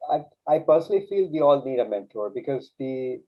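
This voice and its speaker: A man's voice: mid-range voice, expressive, a little fast. A man whose first language is Urdu, a guest coach telling a story in an earnest, casual way with many restarts. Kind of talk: casual interview chat. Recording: dull lo-fi sound.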